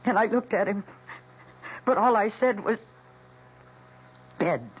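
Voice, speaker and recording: A woman's voice: medium pitch, slow pace. A woman with an American accent playing a fragile, obsessive young housewife in a radio drama, with intense, dramatic delivery. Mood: dark, obsessive. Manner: intense, dramatic, breathy emphasis. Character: fragile, obsessive housewife confessing her killer plants' deed. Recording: dull, noisy old radio recording.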